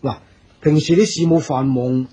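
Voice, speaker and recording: An actor playing a loyal, tactful aide in a radio drama, hesitant and soothing, with a Hong Kong Cantonese accent. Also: male voice, medium pitch, steady tone, normal speed, dark tone, breathy edge, close to an everyday voice. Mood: deferential, concerned. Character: loyal, tactful male aide to a stern boss.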